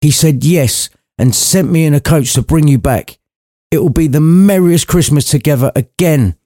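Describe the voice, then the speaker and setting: A man's voice: on the high side, normal speed, dark tone, no room sound, clean studio sound, pitched above the performer's own voice, natural voice. A man playing an affectionate, eager young girl, a little sister, in a storybook retelling. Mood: tender, excited.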